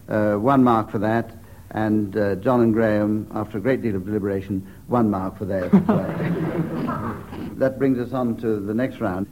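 A man's voice: fairly low pitch, darkish tone, slight background noise, poor audio. A man hosting a radio comedy panel game, announcing rounds and scores with dry, wry asides. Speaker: quiz show host. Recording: radio panel show with audience.

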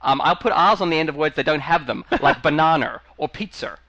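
A man's voice: a little high, brisk, a bit bright, no echo, highs cut off. A man from Australia with an Australian accent, partly Americanized, chatting casually as a guest, rambling and laughing often. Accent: Australian, with some American influence. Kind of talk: casual comedic chat.

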